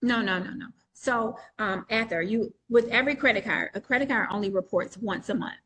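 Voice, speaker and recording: A woman coach answers questions in a relaxed, rambling way, restarting her sentences and filling gaps with "um." Her pitch sits in an ordinary middle range. She comes through a video call. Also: fairly quick, husky. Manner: explains at length with many restarts and fillers. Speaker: coach selling a mentorship. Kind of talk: live webinar Q&A.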